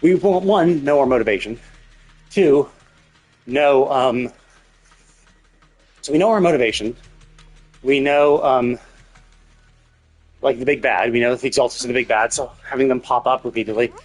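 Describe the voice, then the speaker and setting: A man's voice: mid-range voice, moderate pace, long pauses, breathy edge. A man hosting a livestream, giving casual game commentary in a rambling way, thinking aloud as he goes. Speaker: livestream host. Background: game music.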